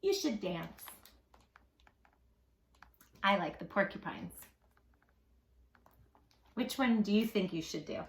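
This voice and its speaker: A woman hosting a read-aloud for young children, expressive and emphatic, speaking straight to the listener. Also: mid-range voice, slow pace, pauses often, reverberant. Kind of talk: animated picture-book reading for young children.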